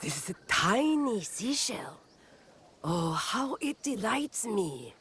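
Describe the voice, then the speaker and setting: Slow, swooping, playful young woman. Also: medium pitch, very animated, a bit bright, a little hiss or hum. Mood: delighted, soft. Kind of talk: game dialogue acting.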